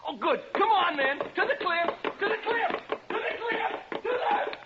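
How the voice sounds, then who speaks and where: A man's voice: very high-pitched, lively intonation, a little slow. An actor playing a nervous radio DJ who rambles and stammers as he cracks up in a haunted house, in 1940s radio style. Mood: hysterical, unhinged. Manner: rambling, stammering, repeating himself. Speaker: radio announcer. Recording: hissy, muffled vintage 1940s radio recording.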